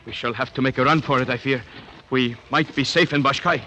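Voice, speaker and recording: A man's voice: mid-range voice, fairly even intonation, fairly quick, slight background noise, close to an everyday voice. Man playing a grave, deferential hill chief. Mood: anxious. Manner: formal, respectful, grave. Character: deferential, cautious native hill chief serving a king. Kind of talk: 1950s radio drama acting. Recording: vintage 1950s radio broadcast, loud music.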